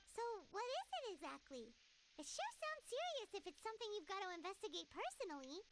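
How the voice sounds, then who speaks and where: A woman voicing a tiny, childlike, nosy fairy companion in a video game, chirpy and excitable, heard under stream noise. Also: very high voice, fairly quick, full character voice. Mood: chirpy, curious. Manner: chirpy, blunt, inquisitive. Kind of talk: scripted video game dialogue acting.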